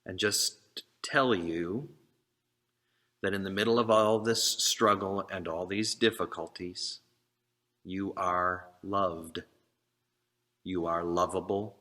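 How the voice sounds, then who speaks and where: A man preaching a sermon as a minister, speaking earnestly in short, deliberate phrases. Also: deep voice, steady tone, sharp tone.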